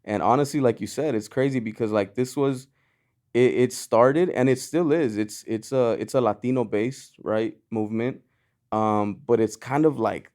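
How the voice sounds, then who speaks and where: A man's voice: lowish voice, a little mellow. A man born in Mexico, a podcast guest talking loosely in casual chat, with lots of fillers and restarts. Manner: loose and rambling, lots of "you know" and "like", frequent restarts. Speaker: guest, clothing brand founder.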